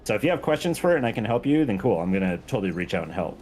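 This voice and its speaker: A man's voice: on the low side, very animated, racing, darkish tone, slight background noise. A man talking casually and earnestly on a gaming stream as a guest, informal and self-effacing. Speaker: guest, game mod creator.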